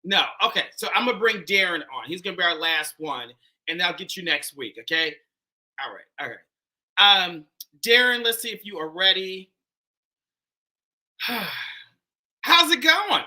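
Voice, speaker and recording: A man with a Pittsburgh accent hosting a live dating show in a loud, animated, chatty way. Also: sits high, moderate pace, long pauses. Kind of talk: casual live-stream chat.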